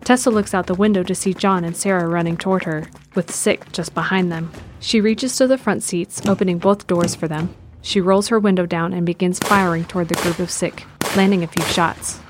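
A woman's voice: lowish voice, fairly even intonation, moderate pace, background noise. A woman reading scripted narration for an audio drama as its narrator. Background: music.

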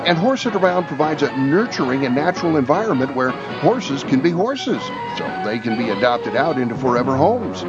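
A man's voice: mid-range voice, big pitch swings, normal speed, hardly any pauses, muffled recording, over music. An American man hosting a radio show, talking casually and making announcements.

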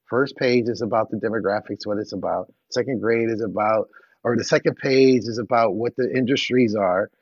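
A man's voice: lowish voice, moderate pace, husky, no room sound. Chatty man co-hosting, dull dry sound. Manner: chatty, long rambling questions with restarts. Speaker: podcast co-host. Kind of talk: casual interview chat.

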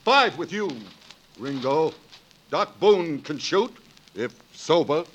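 An actor playing a gruff, commanding American lawman in a 1940s radio western, blunt and folksy. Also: on the high side, lively intonation, takes it slowly, fairly bright tone, full character voice. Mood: decisive. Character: gruff, commanding frontier lawman riding shotgun.

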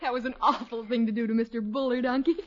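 Woman playing a sweet high-voiced radio niece. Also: steady tone, fast talker, lightly acted. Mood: affectionate. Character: sweet young niece, affectionate, lovestruck. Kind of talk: 1950s radio situation comedy acting. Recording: dull vintage radio recording.

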